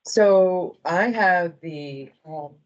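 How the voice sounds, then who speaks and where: A woman speaking as a medical center director, giving an informal, halting and appreciative award speech to a group. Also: on the low side, muffled recording.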